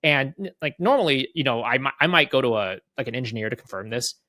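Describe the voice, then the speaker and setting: A man casually walking through a software demo off the cuff, conversational, often checking in with tag questions and voicing little exchanges. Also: mid-range voice, animated, quick pace, dry recording. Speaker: guest product leader giving a software demo. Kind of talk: casual off-the-cuff product walkthrough.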